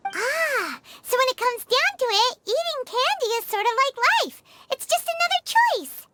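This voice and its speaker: Very high-pitched chirpy fairy companion. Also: female voice, exaggerated voice. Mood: curious. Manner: excitable, quick to exclaim. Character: tiny chirpy female fairy companion, curious. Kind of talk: game cutscene dialogue.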